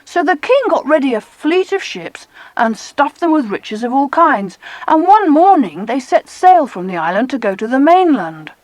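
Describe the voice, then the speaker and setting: Keen British kid on an island adventure, very high, lively, well-spoken, for an audiobook play. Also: female voice, moderate pace, hardly any pauses, no room sound, poor audio. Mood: eager storytelling. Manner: eager, expressive storytelling. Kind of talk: dramatized children's audiobook acting.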